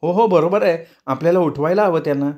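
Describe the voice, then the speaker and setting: A man's voice: on the high side, fast talker, dull audio, voice pushed up, lightly acted. A man voicing a frightened young woman who pleads and frets in a nervous, raised voice. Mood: anxious. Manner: fretful, pleading.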